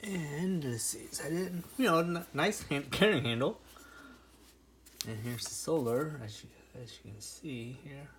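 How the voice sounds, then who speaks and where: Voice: mid-range voice, measured pace, crowd noise. A product reviewer giving casual unboxing commentary, informal and thinking aloud. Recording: clearly noisy home recording with clicks.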